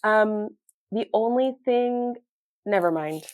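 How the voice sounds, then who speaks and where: A woman's voice: mid-range voice, animated, slow pace, darkish tone. A woman co-hosting a podcast, giving a casual, thoughtful TV review in a hesitant way peppered with "like". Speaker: podcast co-host.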